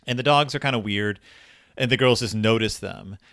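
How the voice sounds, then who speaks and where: A man's voice: on the low side, moderate pace, fairly bright tone, dry recording, studio quality. A man hosting a podcast, casually and enthusiastically retelling and discussing a story, sometimes reading passages aloud. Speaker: podcast host.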